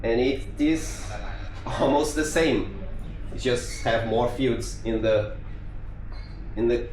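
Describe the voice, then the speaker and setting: Brazilian-accented male speaker, casual talk with restarts, mid pitch, roomy echo. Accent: Brazilian Portuguese. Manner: conversational with frequent restarts and fillers. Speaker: conference speaker. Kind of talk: technical conference talk. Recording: echoey room with faint noise.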